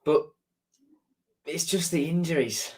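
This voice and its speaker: A man's voice: fairly high pitch, slow pace, a little mellow. A man hosting a live stream, chatting casually and answering viewer comments, with a sore throat and blocked nose, talking in a rambling way with lots of ums and repeated words.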